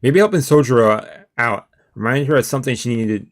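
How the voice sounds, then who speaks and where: A man's voice: medium pitch, animated, fairly quick. A man streaming a let's play, reading the story aloud and dropping in casual, slangy asides. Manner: informal, slangy, reacts in asides. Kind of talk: reading aloud a visual novel with casual commentary.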